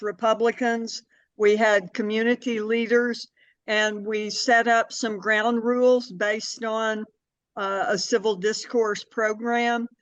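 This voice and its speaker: An older woman answering as an interview guest in a deliberate, plainspoken, conversational way, on a slightly dull recording. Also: mid-range voice, dry recording. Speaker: interview guest, community activist and city board member.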